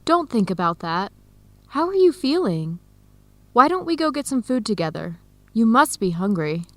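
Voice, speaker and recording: An actress playing a caring, practical young woman researcher in a radio drama for English learners, speaking in a clear, deliberate, concerned way. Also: fairly high pitch, very animated, no room sound. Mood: caring, friendly. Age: young adult.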